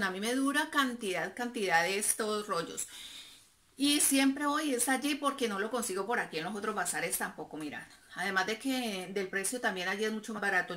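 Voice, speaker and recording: A woman chats easily to a camera set up in her living room, going through her purchases one by one. Her voice is bright and sits a little high, and she leans on cosy diminutives. A faint hiss runs under the recording. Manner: chatty, fond of diminutives, shows items to camera. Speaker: vlogger. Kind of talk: casual haul chat. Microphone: camera in front, seated close.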